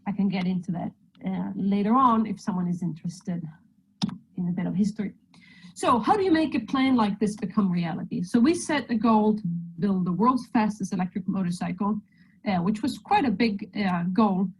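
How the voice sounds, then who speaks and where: A woman with a Swedish accent talks her audience through a presentation on a video call, friendly and joking as she goes. Her voice sits at an everyday middle pitch, with a faint hiss of noise behind it. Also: normal speed, low-quality recording. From Sweden. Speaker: guest presenter. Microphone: video call microphone.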